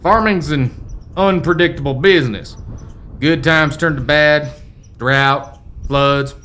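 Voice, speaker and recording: An actor playing a folksy, forgetful old American rancher's ghost in a family radio play, with rustic old-timer phrasing. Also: male voice, fairly high pitch, slow, sharp tone. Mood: proud, reminiscing. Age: older.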